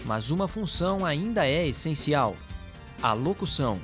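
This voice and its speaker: A man's voice: on the high side, lively intonation, moderate pace, a bit bright. A man with a Brazilian accent narrating a documentary from a script. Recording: music bed behind voice. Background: music.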